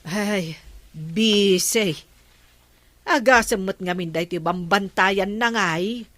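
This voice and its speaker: A woman playing a gossipy, grumbling village woman in an Ilocano comedy drama, with drawn-out exclamations. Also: mid-range voice, fairly bright tone, no echo.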